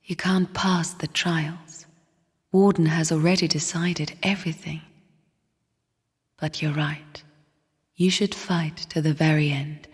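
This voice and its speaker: Video game spirit sister: bitter, solemn, slow-paced, extremely breathy whisper, heavy reverb. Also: female voice, low voice. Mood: grave. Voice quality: breathy, hushed half-whisper. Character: somber, bitter sister spirit of the void, guarding her chambers. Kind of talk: video game character voice lines. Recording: long cavernous reverb.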